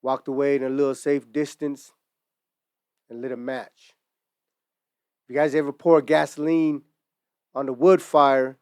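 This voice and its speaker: A man's voice: a little high, a little mellow, clear, resonant tone, dry recording, studio quality. A man speaks earnestly to camera. He tells stories in a reflective way and uses casual street slang. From Utah, United States. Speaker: merch brand founder. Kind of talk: earnest personal monologue to camera. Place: indoors in front of merchandise display.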